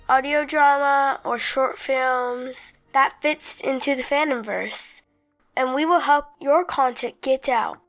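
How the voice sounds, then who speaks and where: A woman's voice: sits high, a bit bright. A woman reading a scripted announcement in an inviting, persuasive way.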